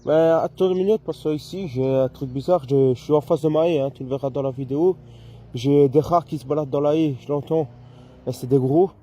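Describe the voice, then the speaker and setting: A man's voice: fairly high pitch, fairly even intonation, brisk, warm tone, lo-fi sound. A man chatting casually and a little hesitantly as an amateur radio operator, speaking outdoors in a garden. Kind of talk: casual two-way radio chat.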